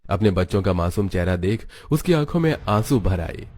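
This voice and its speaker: A man with a fairly low voice tells a children's story. He reads it as a scripted narration for a cartoon. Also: expressive, moderate pace, darkish tone, soft background music.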